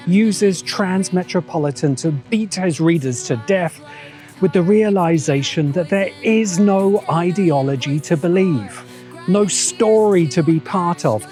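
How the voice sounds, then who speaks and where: A man narrating a scripted video essay in an emphatic, rhetorical way, with dramatic pauses. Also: high-pitched, a little mellow. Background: background music.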